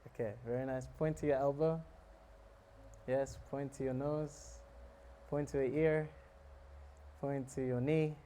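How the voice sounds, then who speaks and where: A man giving a relaxed, joking talk on scripture to a live audience, often asking them questions. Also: mid-range voice, a little slow, long pauses, a little hiss or hum. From South Africa. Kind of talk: casual sermon to a live audience.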